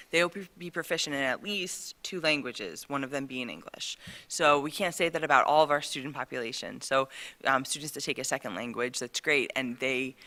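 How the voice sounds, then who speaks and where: Bright-voiced teacher explaining at a board meeting. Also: mid-range voice. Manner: chatty and explanatory, with many fillers. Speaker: teacher presenting to the school board. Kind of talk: presentation at a school board meeting. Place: meeting room.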